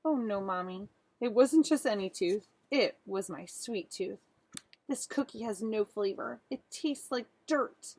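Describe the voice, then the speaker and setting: A woman's voice: medium pitch, lively intonation, unhurried, darkish tone, husky. A woman voicing a dramatic, demanding little girl from a storybook, exclaiming and complaining. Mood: dismayed.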